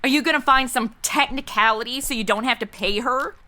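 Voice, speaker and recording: Woman acting a bubbly, bright, high-pitched sidekick. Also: fairly quick. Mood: flippant. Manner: gushing, blurting, flippant. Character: bubbly, tactless young woman, modern amateur sleuth sidekick. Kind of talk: comedy audio drama acting.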